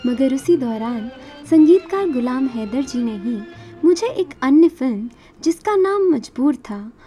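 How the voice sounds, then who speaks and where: A woman's voice: high-pitched, expressive, unhurried, mellow, faint music behind. A woman whose native language is Marathi, narrating her own life story from a script as a singer.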